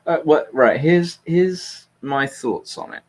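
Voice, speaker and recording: A man's voice: fairly high pitch, takes it slowly, slight background noise. Blunt British man chatting casually. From Britain. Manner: blunt, sweary, self-deprecating. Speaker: host. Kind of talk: casual political chat.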